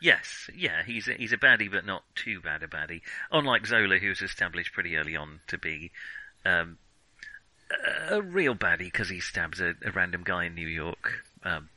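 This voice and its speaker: A man casually discussing films as a podcast host, speaking in halting, hesitant phrases. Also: low-pitched, animated, bright, forceful tone, no room sound, poor audio.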